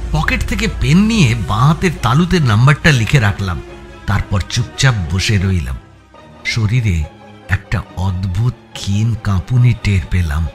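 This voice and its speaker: A man reading a scripted thriller as narrator, with a suspenseful, theatrical delivery. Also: medium pitch. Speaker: story narrator. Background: background music.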